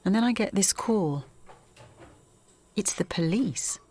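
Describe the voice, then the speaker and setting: A woman's voice: medium pitch, lively intonation, slow, a little mellow, husky. An actress playing a weary mother and protest organiser with an English accent, narrating confidingly in first person for an audio drama. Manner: confiding, present-tense storytelling.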